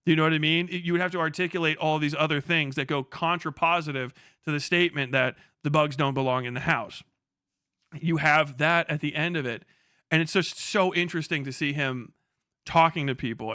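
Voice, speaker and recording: Bright-voiced man giving emphatic livestream commentary. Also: a little high, clear, resonant tone, no room sound, clean studio sound. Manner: emphatic, profane, opinionated. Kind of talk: casual unscripted political commentary, reacting to video clips.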